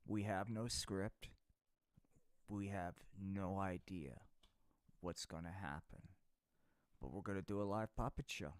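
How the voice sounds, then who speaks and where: A man's voice: sits low, a little slow, pauses often, dry recording. A man hosting a live puppet stream, talking off the cuff in a slangy, profane way and tacking "man" onto his sentences. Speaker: puppeteer host. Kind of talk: unscripted live stream banter.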